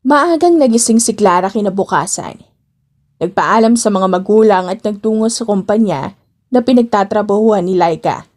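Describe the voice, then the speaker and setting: A woman's voice: mid-range voice, lively intonation, normal speed, darkish tone. A woman with a Filipino accent narrating a scripted story as an audiobook reader.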